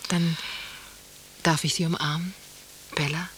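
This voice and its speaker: A woman's voice: deep voice, flat delivery, measured pace, fairly bright tone. An actress playing a sultry, scheming married woman in a literary radio play, formal and languid, on a slightly hissy recording. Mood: coolly seductive.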